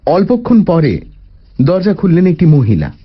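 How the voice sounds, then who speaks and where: A man narrating a story in the first person, with an expressive, deliberate dramatic delivery. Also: on the high side, dull audio, noisy background. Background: faint music.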